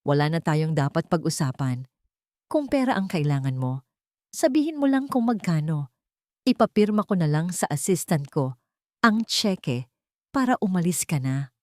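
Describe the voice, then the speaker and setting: A woman's voice: low voice, sing-song, moderate pace, pauses often, a little mellow, no echo, clean studio sound. Woman reading as a cold, arrogant rich man. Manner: cold, clipped.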